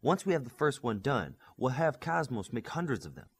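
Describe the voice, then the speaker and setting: A man's voice: mid-range voice, sing-song, moderate pace, husky. A man voicing an earnest young superhero tech expert in an audiobook, explaining things methodically.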